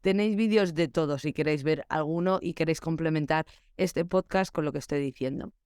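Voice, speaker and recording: A woman's voice: low-pitched, brisk, a little mellow, clear, resonant tone, dry recording. A young adult woman hosting a solo podcast for teachers, chatty and reflective, giving practical advice.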